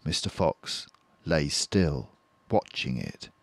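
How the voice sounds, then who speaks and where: An older man narrating a children's story in a measured, careful and suspenseful way. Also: very deep voice, sing-song, creaky, no room sound. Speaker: storybook reader.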